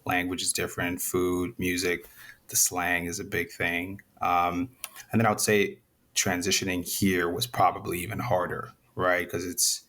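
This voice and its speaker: A young man from Zambia, a guest in a casual interview, talking in a relaxed, rambling way with many restarts. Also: deep voice, unhurried. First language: Nyanja.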